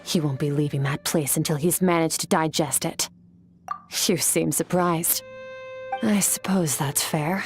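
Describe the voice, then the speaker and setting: Aloof warrior woman, calm, cold, lowish voice, soft game music. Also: measured pace. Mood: measured. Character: cool, aloof female warrior mentor. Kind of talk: video game voice acting.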